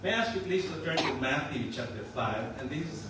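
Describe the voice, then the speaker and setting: An older man with a Filipino accent mixed with some Australian preaches in a loose, joking way. He is picked up from well off the mic, so the voice rings around a very reverberant room. A steady hiss of noise sits under it. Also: mid-range voice, brisk, recorded from a distance. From Philippines. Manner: joking and chatty, laughs often. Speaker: pastor. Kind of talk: casual sermon.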